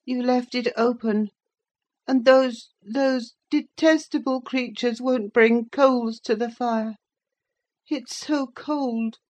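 A woman's voice: fairly high pitch, fairly even intonation, takes it slowly, darkish tone, pitched above the performer's own voice. A woman voicing a sickly, peevish teenage boy in an audiobook, whining and querulous.

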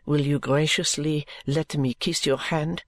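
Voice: mid-range voice, steady tone, a little slow, a bit bright, dry recording, pitched below the performer's own voice. A narrator voicing a fervent, courtly Frenchwoman with a French accent, earnest and pressing in an audiobook story. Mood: mild resignation. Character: fervent, intense Frenchwoman seeking work as a lady's maid.

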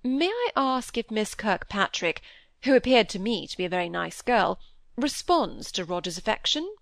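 A woman voicing a proud, touchy young gentleman in an audiobook, speaking formally and politely. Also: medium pitch, expressive, moderate pace, gravelly, dry recording. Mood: stiffly polite. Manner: formal, polite, stiffly indignant. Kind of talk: audiobook character dialogue.

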